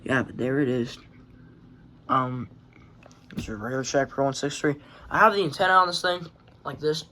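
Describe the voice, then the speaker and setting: A man's voice: mid-range voice, steady tone, moderate pace, long pauses, pushed and bright. A man giving a casual show-and-tell vlog in a rambling, offhand, sweary way, recorded on a handheld phone. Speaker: hobbyist presenting a radio collection. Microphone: phone held in hand.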